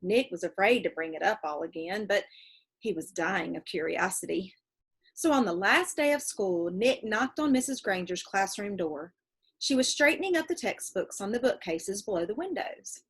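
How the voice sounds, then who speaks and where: A woman reading a children's book aloud as an expressive narrator. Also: medium pitch, moderate pace, breathy edge. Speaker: storybook reader.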